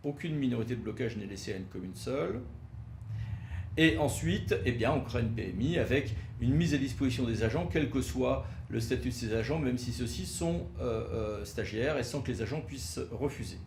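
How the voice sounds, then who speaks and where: A man presents like a legal expert giving a lecture, flagging key points emphatically and often hesitating as he explains. His pitch is average, and the recording has a roomy echo with faint noise behind it. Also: lively intonation, normal speed, vocal fry, darkish tone. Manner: didactic, emphatic signposting with frequent hesitations.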